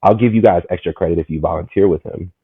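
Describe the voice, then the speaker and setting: Low-voiced guy in his 30s from maryland, chatty and rambling, sounds like a phone line. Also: fast talker, slightly rough, no room sound. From Maryland, USA. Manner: animated, rambling, full of "you know what I'm saying". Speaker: guest, community market founder. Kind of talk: casual storytelling conversation. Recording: tinny, phone-like, dry.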